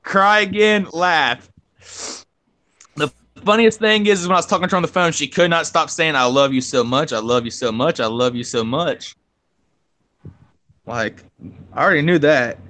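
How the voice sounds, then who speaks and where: A man's voice: on the high side, normal speed, pauses often, pushed and bright. A young man co-hosting a live stream over a video call, chatting casually, joking and swearing freely. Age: young adult. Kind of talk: casual chat.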